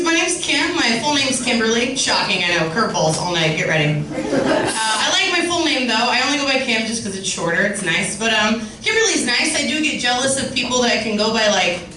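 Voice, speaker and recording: A woman's voice: a little high, fairly quick. A young woman from California's Central Valley with a scratchy voice, doing a profane, sarcastic stand-up set. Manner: profane, sarcastic, lively storytelling. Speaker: stand-up comedian. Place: comedy club stage. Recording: echoey club, distant mic, room noise.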